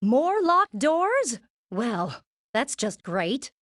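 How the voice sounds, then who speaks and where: A woman's voice: a little high, very animated, very slow, fairly bright tone, no room sound, clean studio sound, heavily acted. A woman playing a prim, fretful, motherly alien gem in a cartoon game, with a precise, dryly exasperated manner. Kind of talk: animated cartoon game voice acting.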